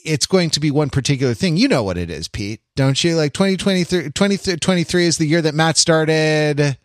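A man's voice: on the high side, lively intonation, brisk, no echo, clean studio sound. A man in his forties chatting casually as a podcast host, rambling and often interrupting and repeating himself. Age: 40s. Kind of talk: casual chat.

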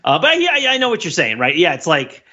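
A man's voice: on the high side, huge pitch swings, quick pace, pushed and bright, muffled recording. A man co-hosting a casual panel podcast, talking in a rambling, riffing way with mock-earnest commentary. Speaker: podcast host.

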